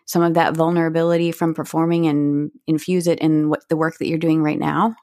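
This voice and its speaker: Thoughtful low-pitched woman interviewer. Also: level tone, brisk, a little mellow, no room sound, studio quality. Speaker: podcast host, interviewer. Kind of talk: conversational interview.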